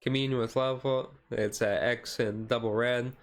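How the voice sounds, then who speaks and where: A man giving a casual, halting ASMR-style commentary as a streamer, explaining things in a rambling way. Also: medium pitch, steady tone. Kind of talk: casual card deck review commentary.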